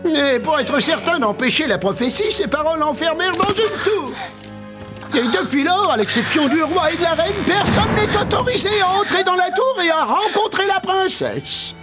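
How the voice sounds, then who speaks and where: Very high, gossipy cartoon socialite. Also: female voice, expressive, moderate pace, over music. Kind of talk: French-language animated fairy-tale dub.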